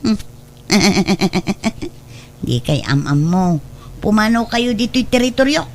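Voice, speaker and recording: A woman's voice: medium pitch, very animated, slow. A woman playing a mentally disturbed, homeless young mother in a radio drama, defiant and territorial with an erratic, childish manner. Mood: hostile, unhinged. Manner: erratic, defiant, switching between snapping and giggling.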